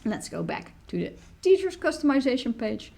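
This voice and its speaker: A woman in her thirties, a native Dutch speaker, explaining a software tool step by step in a screen-recorded tutorial from a small room. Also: sits high, sing-song, moderate pace, creaky. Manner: explanatory, walks through clicks step by step. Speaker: teacher tool demonstrator.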